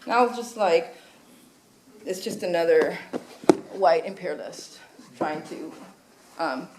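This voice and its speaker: A woman's voice: lowish voice, measured pace. Earnest woman panelist from Los Angeles. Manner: earnest, emphatic, with filler ums. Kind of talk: panel discussion answer. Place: conference hall. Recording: echoey hall with clear noise.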